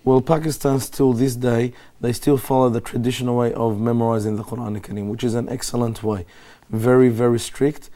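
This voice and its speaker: Man, middle-aged, flat delivery, mellow tone, measured explanations, TV studio guest. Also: medium pitch. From Australia. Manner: calm, measured explanatory talk. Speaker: guest Islamic scholar. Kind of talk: live TV interview.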